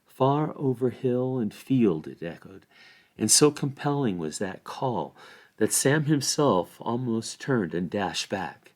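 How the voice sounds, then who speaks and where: A man reads a fantasy story aloud in an expressive narrating style. His voice is medium in pitch and very lively in its rise and fall. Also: slow pace. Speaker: volunteer story reader.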